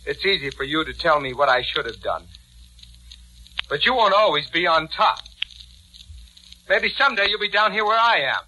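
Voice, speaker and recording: An actor playing a bitter, desperate condemned killer, intense in the manner of 1940s radio drama. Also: male voice, fairly high pitch, animated, moderate pace, pauses often, sharp tone. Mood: bitter, vengeful. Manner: pleading, vengeful, intense. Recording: faintly noisy 1940s radio recording.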